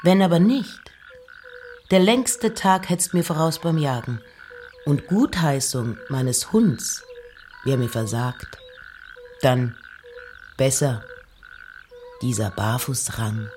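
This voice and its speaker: An actress playing a reclusive, wry woman poet, reading letters and poems in a measured, deliberate literary radio style. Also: very deep voice, dark tone, a little hiss or hum. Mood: wry, musing. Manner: measured, deliberate, pausing between short phrases.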